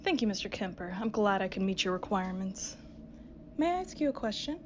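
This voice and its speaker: A woman playing a mysterious stranger in a radio drama, speaking in a polite, measured way. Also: mid-range voice, sing-song, a little mellow, slight background noise, lightly acted. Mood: polite, probing. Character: mysterious woman posing as applicant and desperate homeless stranger.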